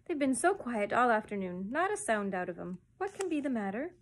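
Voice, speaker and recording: A woman's voice: fairly high pitch, very animated, fairly quick. A doting storybook mother, acted by a woman reader. She fusses and coos over her babies in a lilting, raised voice, gushing and soothing. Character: fond, fussing, cooing mother of baby twins. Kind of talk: children's storybook reading.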